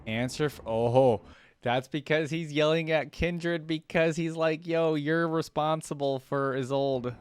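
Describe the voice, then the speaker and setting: A man's voice: on the high side, unhurried, smooth. A man hosting a reaction video, talking casually and thinking aloud in slangy, conversational commentary.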